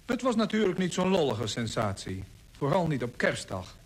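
A man's voice: fairly high pitch, sing-song, normal speed, slightly rough, rough recording. A man playing an explorer in a radio drama, speaking with wry understatement. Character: male explorer, wry about his misfortune.

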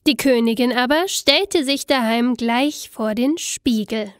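A woman narrating a scripted fairy tale in an expressive, deliberate storytelling manner. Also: on the high side, no room sound, studio quality.

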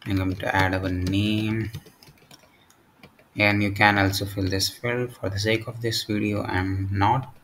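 A man narrating a step-by-step software tutorial in short, halting phrases. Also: fairly low pitch, little pitch movement, slight background noise. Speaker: tutorial presenter.

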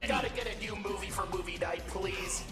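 A man's voice: high voice, brisk, close to an everyday voice. A man voicing a silly, blustering mad scientist villain in a comic game fandub, with echo and game music.

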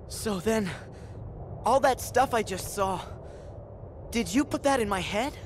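A stubborn, openhearted teenage boy hero from a video game speaks with earnest feeling. His plainspoken delivery is halting, slow and very lively in pitch. Clear background ambience is heard. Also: slight background noise. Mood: puzzled.

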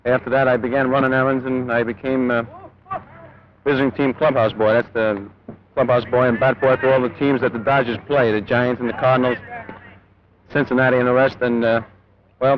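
A man's voice: medium pitch, level tone, fairly quick. Young New man in a vintage interview. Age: 20s. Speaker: baseball bat boy being interviewed. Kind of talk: informal filmed interview. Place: outdoors at an empty ballpark dugout. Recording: dull 1950s newsreel sound, faint noise.

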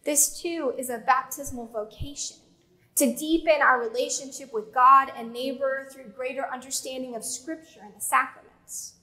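A woman in her thirties with a high, light voice preaches from the pulpit of a church. She speaks in a measured way, slowing down and pausing to stress key words. Her voice is picked up by a pulpit mic. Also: steady tone, pushed and bright, low-quality recording. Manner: measured preaching with slow emphatic pauses and gestures. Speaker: pastor. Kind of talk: sermon. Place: church sanctuary with pipe organ.

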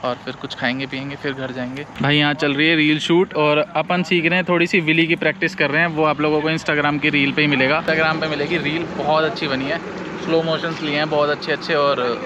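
A man's voice: on the high side, flat delivery, fairly quick, highs cut off. A young man chatting cheerfully to viewers as he vlogs a motorbike ride outdoors, speaking into an action camera. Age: young adult. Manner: chatty and upbeat, addresses viewers as brothers. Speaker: motovlogger. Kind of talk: casual vlog narration. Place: outdoors on a highway and roadside, partly riding a motorbike. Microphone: chest-mounted action camera, also selfie at arm's length. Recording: noisy outdoor action-cam audio. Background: wind, traffic and engine noise.

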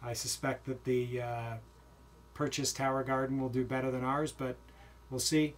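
A man in his forties explaining a homemade project in a small basement utility room, in a relaxed, plain way with occasional fillers. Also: mid-range voice, moderate pace, slight background noise. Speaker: vlogger. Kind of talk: casual show-and-tell walkthrough.

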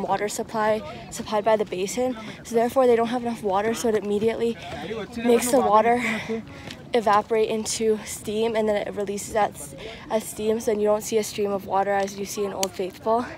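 A young woman giving an informal explanation to a handheld phone camera outdoors. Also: a little high. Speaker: travel companion explaining sights. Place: outdoors on a forest trail. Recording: noisy outdoor handheld audio.

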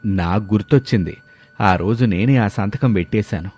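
A man's voice: fairly low pitch, normal speed, darkish tone, breathy edge. An actor playing a greedy, self-serving village headman in a cartoon moral story. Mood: ashamed.

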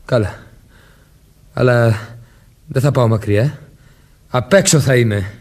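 An actor playing a highly strung, defiant, unhappy young man in a Greek radio drama. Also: a little mellow, lightly acted. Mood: reluctant.

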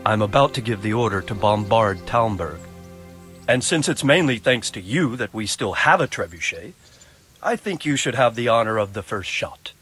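A man's voice: on the low side, animated, moderate pace, fairly bright tone, faint music behind. A man playing a dignified older medieval lord giving orders in a dramatic game cutscene. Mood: encouraging.

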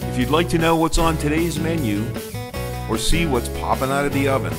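A man's voice: on the high side, a little fast. An American man reading scripted promotional narration as the host of a local show. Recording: voice-over under loud guitar music. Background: loud plucked guitar music.

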